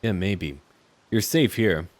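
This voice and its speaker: A man's voice: low-pitched, slow pace. A man giving casual, musing commentary as he plays a role-playing game and speaks dialogue choices aloud.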